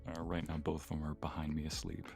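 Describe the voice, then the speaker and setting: A man's voice: rumbling low, monotone, fast, vocal fry, a little mellow, soft background music. A man chatting casually on a livestream, telling stories in a playful way with an easy chuckle. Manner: chuckles often, playful storytelling. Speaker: streamer co-hosting a live chat.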